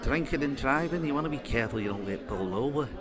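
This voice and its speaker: A man's voice: medium pitch, lively intonation, fast talker, close to an everyday voice. Man as a casual British criminal recruit, chatter behind. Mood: guarded, casual. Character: newer criminal recruit, ordinary working bloke. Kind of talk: naturalistic audio drama dialogue.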